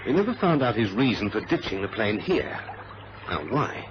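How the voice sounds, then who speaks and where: An actor playing a suspicious, determined airline man in an old radio adventure, emphatic and halting as he reasons things out. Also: fairly low pitch, very animated. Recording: muffled, noisy vintage radio recording.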